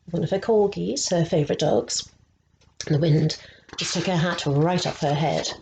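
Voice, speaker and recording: A woman reading a picture book aloud to children, slow and expressive, stopping to ask them questions. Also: lowish voice, creaky, slight background noise, low-quality recording. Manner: slow and expressive, asking the young listeners questions.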